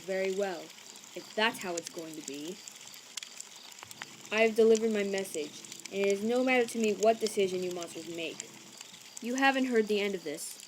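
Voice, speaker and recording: A woman voicing a haughty, prejudiced male envoy in an animated comic dub, stiff, officious and condescending. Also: mid-range voice, moderate pace, background noise, close to an everyday voice. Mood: curt, displeased.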